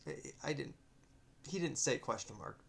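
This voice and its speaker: A man reading his own story aloud as narration, halting and correcting himself, with muttered asides. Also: medium pitch, lively intonation, creaky, fairly bright tone, slightly rough. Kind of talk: read-aloud narration with off-script proofreading asides.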